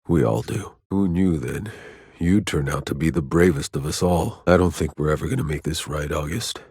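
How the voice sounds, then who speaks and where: An actor voicing a jaded, sardonic, hardened soldier in a video game, with a rough voice and a wry, terse, world-weary delivery. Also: male voice, very deep voice, moderate pace, darkish tone, no room sound, studio quality, full character voice. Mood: somber, subdued. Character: jaded, sardonic male soldier, hardened survivor of alien wilds. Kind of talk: video game character voice lines.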